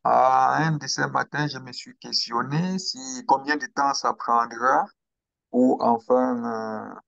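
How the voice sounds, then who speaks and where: A young man from Cameroon, speaking slowly and hesitantly as an interview guest about his own story. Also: medium pitch, steady tone, creaky, fairly bright tone, no room sound, highs cut off. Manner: slow, hesitant, with pauses and restarts. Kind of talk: interview, personal account.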